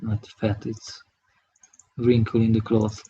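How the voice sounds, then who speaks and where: Husky Hungarian-accented man drawing live. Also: lowish voice, monotone, dark tone, lo-fi sound. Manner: halting, thinking aloud while working. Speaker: digital artist streaming his work. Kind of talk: live drawing tutorial commentary.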